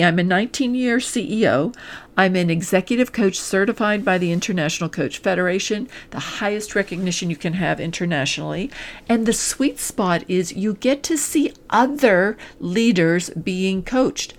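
A woman executive coach delivers a scripted promotional pitch straight to the listener, earnest and deliberate, stressing points in short listed phrases. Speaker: executive coach and podcast host.

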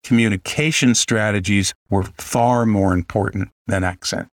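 A man hosting a podcast, explaining a coaching story in a measured way. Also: fairly low pitch, fairly even intonation, no echo, studio quality. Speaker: podcast host and communication coach. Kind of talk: prepared commentary.

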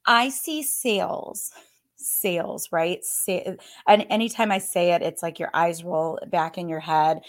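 A woman in her thirties with a slightly hoarse voice, giving a chatty, upbeat live coaching talk. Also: lowish voice, fairly even intonation, unhurried. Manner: upbeat, chatty, often laughing. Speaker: fitness business coach.

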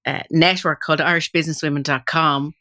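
A woman's voice: low voice, steady tone, brisk, pushed and bright. A woman hosting a marketing podcast, introducing the show and chatting in a conversational interview style.

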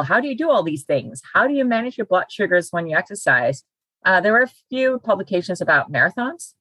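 Woman in her 40s, low husky voice, dry close sound, chatty and organized. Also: fairly bright tone, dry recording, low-quality recording. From Denmark. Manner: structured and chatty, laughs now and then. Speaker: guest, personal trainer. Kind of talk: podcast interview.

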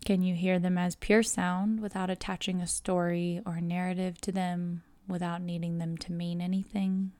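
A woman guiding a relaxation meditation, speaking in a calm, measured, soothing way with pauses between phrases. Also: fairly low pitch, no room sound. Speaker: yoga nidra guide. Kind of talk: guided meditation narration.

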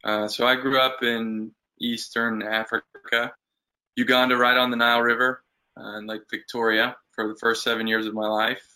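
A man in his thirties, a farmer guest in an interview, speaking thoughtfully and pausing with fillers. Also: mid-range voice, little pitch movement, bright, forceful tone, clean tone, low-quality recording. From United States. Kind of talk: casual interview chat.

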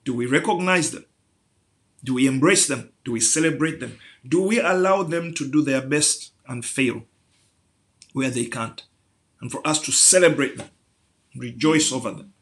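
A man's voice: medium pitch, normal speed, a bit bright. A man preaching a sermon, building through rhetorical questions to emphatic peaks.

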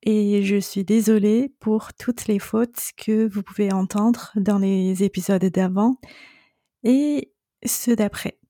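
A middle-aged woman hosts a solo coaching podcast in a calm, reassuring tone. Her voice is mellow and warm, and she takes her time over each phrase. The sound is dry and close, with no room around it. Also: medium pitch, takes it slowly, no room sound. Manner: calm, reassuring, speaks directly to the listener. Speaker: separation coach and podcast host.

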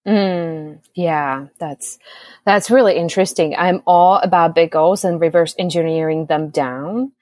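A woman's voice: fairly low pitch, unhurried, darkish tone, no echo. Young czech-born woman, czech first language, friendly, says you know a lot, dry close sound. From Czech Republic. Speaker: business coach, guest. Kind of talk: casual interview chat.

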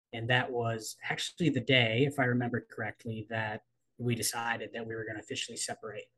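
Interview guest, male, 30s, from the US East Coast. Thoughtful, candid, measured delivery. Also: mid-range voice, a bit bright, low-quality recording. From East Coast of the United States. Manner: thoughtful, measured, with frequent ums and trailing pauses. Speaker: guest, coach and writer. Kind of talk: reflective personal interview.

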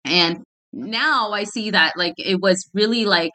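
A woman's voice: mid-range voice, measured pace, sharp tone. A woman, a podcast guest who talks casually, peppers her speech with "like", and laughs often. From Canada. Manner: chatty, many "like" fillers, laughs often. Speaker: guest, fitness founder.

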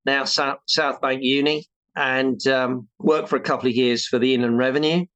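A man's voice: medium pitch, steady tone, moderate pace, a bit bright, clear, resonant tone, dry recording, lo-fi sound. A man in his sixties from England, a surveyor being interviewed, talking in a chatty, rambling way with many restarts and self-deprecating jokes. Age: 60s. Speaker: chartered building surveyor, guest. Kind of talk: casual interview talk.

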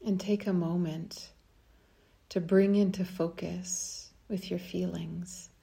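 A woman guides a meditation in a low, mellow voice with creaky edges. She speaks softly and soothingly, leaving long pauses between short phrases. Her delivery stays very even.

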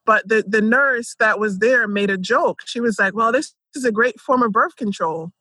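Woman in her 30s telling personal story casually, really bright high voice, dry sound. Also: normal speed, dry recording. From Jamaica and Philadelphia, United States. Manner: frequent "like" and "you know". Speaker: podcast guest telling her life story.

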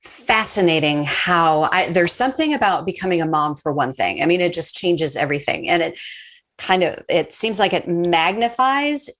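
A woman's voice: fairly low pitch, animated, moderate pace, fairly bright tone. A woman hosting an interview podcast, enthusiastic and full of praise, often restarting her sentences. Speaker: podcast host. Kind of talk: warm interview chat.

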